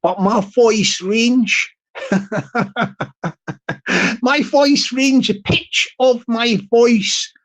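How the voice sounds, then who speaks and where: A man with a high-pitched tenor voice, talking casually on his livestream and repeating his phrases as he rambles. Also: very slow, fairly bright tone. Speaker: YouTuber livestream host.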